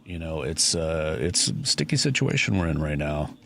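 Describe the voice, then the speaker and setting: A man's voice: a bass voice, expressive, normal speed, vocal fry, a bit bright. A man hosting a talk radio show, chatting loosely with many fillers and restarts. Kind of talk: casual talk radio discussion.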